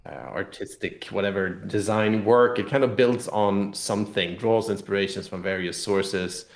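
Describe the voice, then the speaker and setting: A man's voice: on the low side, normal speed, gravelly. A man talking casually in an interview as a game designer, thinking aloud with repeated words and restarts. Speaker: game designer and publisher guest. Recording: echoey room sound.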